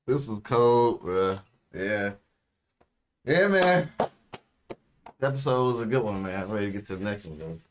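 Young american dude doing casual anime reaction talk, lots of slang. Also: male voice, fairly low pitch, expressive, normal speed, long pauses, background noise. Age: young adult. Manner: slangy, informal. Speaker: anime reaction video host. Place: small indoor room, seated.

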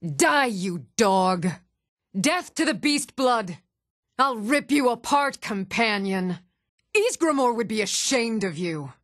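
Even-toned fantasy townswoman, dry close sound. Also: fairly high pitch, no room sound. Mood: stern, threatening. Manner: measured, even-toned, clearly enunciated. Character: even-toned young Nordic townswoman and warrior in a fantasy game. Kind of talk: scripted video game dialogue.

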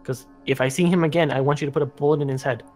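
Man playing a high-voiced earnest young survivor son. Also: fairly even intonation, racing, darkish tone, faint music behind, natural voice. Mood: concerned, explaining. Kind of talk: improvised video game roleplay.

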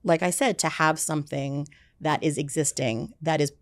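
A woman in her forties talks in a low, relaxed register, loose and conversational, with fillers scattered through her thoughts. She sits in a dark studio, close to a boom-mounted podcast mic, with a dry, dead sound. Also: normal speed, no room sound. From United States. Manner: chatty, many fillers like "you know" and "like". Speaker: film music executive, guest. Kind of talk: casual interview chat. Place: dark studio set, seated in armchair. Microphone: podcast mic on boom arm.

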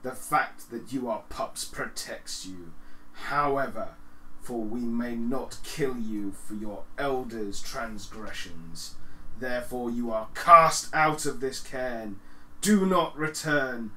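A man voicing a stern, angry werewolf leader in a tabletop game, declaiming slowly and formally. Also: medium pitch, bright, forceful tone. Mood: stern, solemn. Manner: slow, solemn, formal declamation. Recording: echoey living room, background noise.

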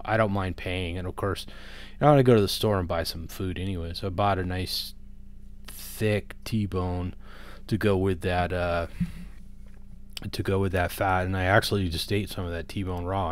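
A man in his fifties with a very low, smooth, resonant voice speaks off the cuff in a casual vlog style. He rambles conversationally and pauses to find his words, sitting close to the microphone. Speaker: vlogger giving diet advice. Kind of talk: casual unscripted vlog talk. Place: small room at home, indoors. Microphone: large microphone on a boom arm, close up.

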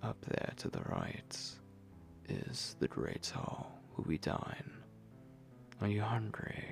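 A man playing a possessive, hungry vampire lord in an intimate ASMR roleplay, breathy and commanding, speaking slowly with menace. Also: rumbling low, wildly swooping pitch, exaggerated voice. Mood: menacing, commanding. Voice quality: breathy, whispery, gravelly. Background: music.

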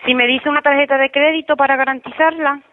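A woman's voice: high voice, steady tone, fast, dry recording. A woman working as a hotel receptionist, speaking firmly and matter-of-factly on a customer call. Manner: matter-of-fact, firm. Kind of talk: customer service phone call. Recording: muffled telephone line.

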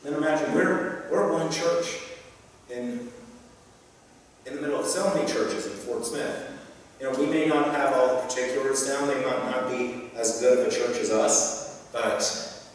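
A man's voice: medium pitch, a little slow, pauses often, a little hiss or hum. Young guy preaching from the pulpit, earnest and encouraging, long church reverb. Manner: earnest, encouraging, with light asides and gestures. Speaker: pastor. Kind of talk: sermon. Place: church sanctuary, speaking from a pulpit. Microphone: pulpit microphone. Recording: echoey sanctuary, heard from a distance.

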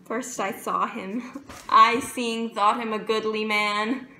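Actress as a lovesick young maiden in Shakespeare, high bright voice, emphatic and theatrical, echoey room. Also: female voice, steady tone, slow pace, exaggerated voice. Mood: smitten, yearning. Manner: theatrical, emphatic, with dramatic swells. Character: lovesick young jailer's daughter, yearning and impulsive. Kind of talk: Shakespearean stage monologue. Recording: roomy echo with faint background noise.

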